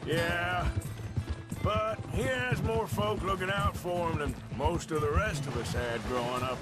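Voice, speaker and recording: An actor playing a hard-bitten, cynical outlaw gunslinger with an American Western accent and terse, folksy speech. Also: male voice, high-pitched, a little slow. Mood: grim, defensive. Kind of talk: naturalistic video game dialogue. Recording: loud music and hoofbeats behind.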